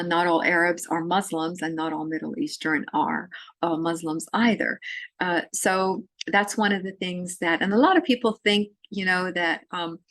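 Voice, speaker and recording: Woman from syria lecturing, lots of ums and uhs, lowish voice, dry close sound. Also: a bit bright, no room sound. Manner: halting, many fillers and restarts. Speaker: literature lecturer. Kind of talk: recorded course lecture.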